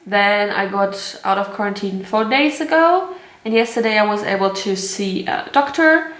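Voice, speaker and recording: Young woman vlogger, mid-pitched, chatty and unfiltered, recorded in a roomy space. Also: expressive, moderate pace, fairly bright tone. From Germany. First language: German. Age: 20s. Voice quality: chatty, candid, laughs often, swears casually. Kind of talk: casual chat to camera. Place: at home, indoor room. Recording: roomy echo.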